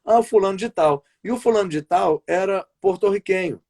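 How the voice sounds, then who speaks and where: A man from Brazil telling long stories in a relaxed interview over a video call. His voice sits a little high for a man, and he wanders through his points with frequent restarts and little tag questions. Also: fairly quick. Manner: rambling, restarts often, tags sentences with "né" and "sabe". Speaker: wrestling commentator and play-by-play announcer. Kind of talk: casual interview chat over a live stream.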